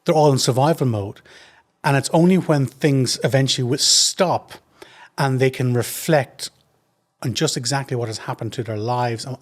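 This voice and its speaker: A man with an Irish accent, a trauma surgeon, answering interview questions in an earnest, measured way with frequent restarts. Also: medium pitch. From Ireland.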